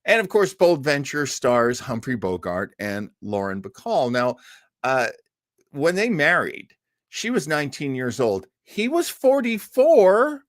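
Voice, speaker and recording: Guy hosting a live stream, super animated pitch, chatty and rambly, dry close sound. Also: unhurried, dry recording. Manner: animated, giggly, self-deprecating, digressive. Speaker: show host. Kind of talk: casual live-stream chat.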